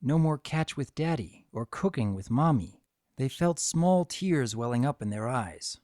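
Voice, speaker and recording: A man's voice: mid-range voice, animated, unhurried, a little mellow, no room sound, studio quality. A man reading a story aloud as a narrator, in a dramatic scripted storytelling style. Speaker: narrator of a fan fiction story.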